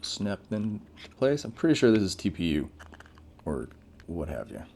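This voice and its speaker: A man's voice: low voice, huge pitch swings, moderate pace, creaky, a little mellow, breathy edge. A man giving casual, off-the-cuff unboxing commentary as a tech reviewer, laid-back and informal with plenty of slang.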